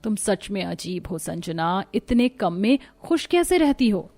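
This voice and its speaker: A woman's voice: mid-range voice, sing-song, measured pace, darkish tone. A woman voicing a haughty, boastful rich young wife in a moral cartoon story. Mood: scornful, boastful. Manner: scornful, boastful. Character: haughty rich young wife who taunts her poor friend.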